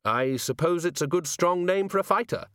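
A man voicing sharp, high-pitched indignant woman. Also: lively intonation, normal speed, no room sound, clean studio sound. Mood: sardonic, exasperated.

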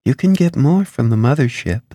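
A man voicing a flighty, pedantic, argumentative woman in an audiobook, brisk and easily distracted. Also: medium pitch, animated, mellow, dry recording, clean studio sound, voice pushed up, close to an everyday voice. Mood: dismissive. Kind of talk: audiobook character dialogue.

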